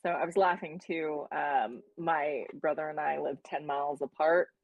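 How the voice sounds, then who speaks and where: A woman hosting a casual interview, chatty and quick to laugh along with her guest. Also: sits low, fairly even intonation, no echo, lo-fi sound. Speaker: interview host.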